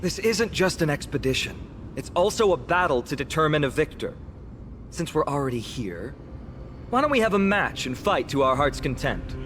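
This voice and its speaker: A male actor playing a playful, boastful warrior in a video game, with a theatrical, teasing manner. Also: fairly high pitch.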